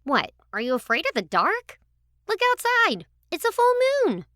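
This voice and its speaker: A woman's voice: sits high, huge pitch swings, normal speed, bright, forceful tone, dry recording, clean studio sound, exaggerated voice. A woman playing a bold, teasing, determined young girl in a children's audio drama, playful and bossy.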